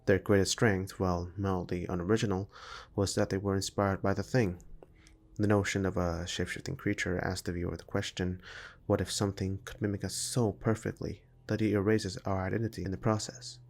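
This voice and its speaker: A man's voice: low voice, level tone, a little fast, darkish tone. A man reading a scripted analytical video essay in a formal, academic manner. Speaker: video essay narrator and amateur filmmaker.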